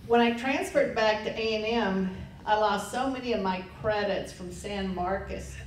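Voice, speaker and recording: A woman's voice: mid-range voice, normal speed. A woman in her sixties from Texas, telling anecdotal stories at a lunch lecture and laughing at her own tales. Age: 60s. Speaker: lecture panelist. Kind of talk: storytelling talk at a lunch lecture. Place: lecture room. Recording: echoey room, distant mic, faint noise.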